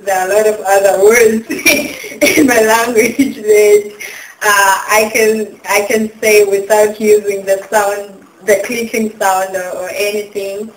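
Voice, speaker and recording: Female exchange student from South Africa, medium pitch, casual testimonial delivery, roomy sound with light noise. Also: fairly even intonation, slow pace. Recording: echoey room with faint noise.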